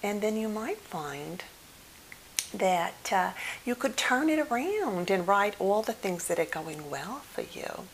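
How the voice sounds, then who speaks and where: Woman acting as a gentle therapist, ASMR roleplay, soft-spoken and whispery, very slow, hesitant and soothing, faint hiss. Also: mid-range voice, big pitch swings, poor audio. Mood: soothing, encouraging. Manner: hesitant, soothing, reassuring, with many soft pauses. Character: gentle, caring woman psychotherapist counseling a fairy client. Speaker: ASMR performer.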